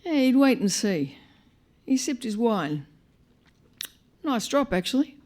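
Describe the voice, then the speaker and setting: A woman reading a short story live to an audience, with wry comic narration, dramatic emphasis and deliberate pauses. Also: fairly high pitch, big pitch swings, darkish tone. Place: live on stage at a performance venue.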